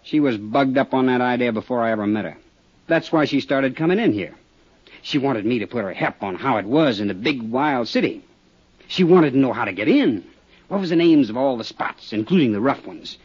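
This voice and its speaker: A man's voice: medium pitch, animated, a little fast, husky. A man playing a tough, cagey small-town roadhouse owner with an American accent in a 1950s radio drama, talking in defensive, streetwise slang. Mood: scornful. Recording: dull 1950s radio recording.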